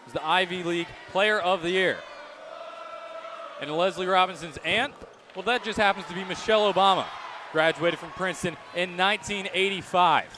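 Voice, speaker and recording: A man calling live college games as a radio play-by-play announcer, energetic and projecting, often raising his voice. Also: high-pitched, normal speed, a crowd in the background. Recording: clear live sports venue background noise.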